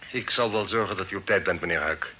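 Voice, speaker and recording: An actor playing a genial, fatherly older gentleman in a radio drama, speaking formally and courteously. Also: low-pitched, fast. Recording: older radio recording, faint noise.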